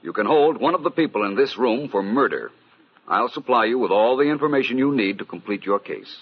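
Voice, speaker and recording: An actor playing a suave, self-assured gentleman detective in an old-time radio mystery, urbane, precise and knowing. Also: mid-range voice, lively intonation, a little fast, husky. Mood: firm, confident. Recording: dull, lo-fi vintage radio recording.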